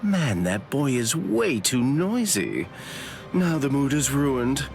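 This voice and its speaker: A man's voice: mid-range voice, sing-song, slow, exaggerated voice. A man playing a languid, sardonic villain in an anime game, with a sly, mocking drawl. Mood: sardonic annoyance. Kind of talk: anime game cutscene dialogue. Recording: dialogue over clear background music.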